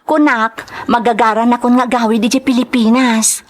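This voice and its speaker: A woman's voice: on the high side, fairly even intonation, moderate pace, natural voice. A woman with an Ilocano Filipino accent playing a homesick, troubled domestic worker abroad in a radio drama, speaking in an earnest, hesitant, plaintive way. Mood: hopeful, wistful.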